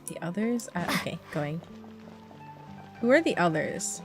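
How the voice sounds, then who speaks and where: A woman's voice: on the low side, animated, a little slow, soft background music. A young woman live-streaming a game, reacting casually in an exclamatory, giggly way.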